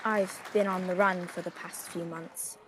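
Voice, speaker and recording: A woman's voice: fairly low pitch, steady tone, measured pace, crowd noise, lightly acted. A teenage actress playing a female elf fugitive in a student fantasy radio drama, music behind her. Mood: familiar. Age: teens. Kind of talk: student radio theatre acting.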